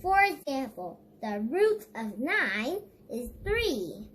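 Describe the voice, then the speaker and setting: A woman's voice: high-pitched, big pitch swings, slow pace, fairly bright tone, slight background noise. A female reader reading an educational children's book aloud, stumbling over words now and then. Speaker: read-along book reader.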